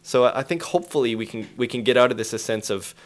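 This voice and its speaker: A man's voice: mid-range voice, fast talker. A man speaking as an interview guest, explaining things in an emphatic, storytelling way with acted-out quotes.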